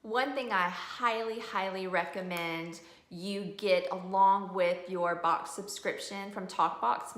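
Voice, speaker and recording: A woman's voice: fairly low pitch, a bit bright. A middle-aged woman giving a casual, explanatory video review as a homeschooling mom. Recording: roomy indoor echo.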